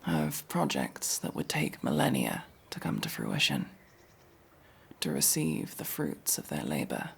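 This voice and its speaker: Man playing a gravelly, guarded immortal elf. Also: extremely low pitch, wildly swooping pitch, fairly bright tone, exaggerated voice. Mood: brooding, wistful. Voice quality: breathy, gravelly. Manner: brooding, halting, often dropping to a whisper. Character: guarded, world-weary five-hundred-year-old elf mercenary, young-looking. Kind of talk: ASMR fantasy roleplay, intimate dramatic acting.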